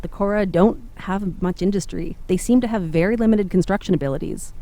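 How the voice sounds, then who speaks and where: Woman playing a studious researcher, dark mellow voice. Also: fairly low pitch, a little fast, natural voice. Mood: matter-of-fact. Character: studious, matter-of-fact female researcher on a diplomatic team. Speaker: research officer in an audio drama. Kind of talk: acted dialogue, briefing.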